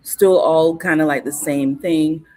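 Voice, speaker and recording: A woman, a guest in a casual interview, explaining things in an unhurried, informative way with frequent fillers and restarts. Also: deep voice, fairly even intonation, a little mellow, slight background noise. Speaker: guest on cultural topics.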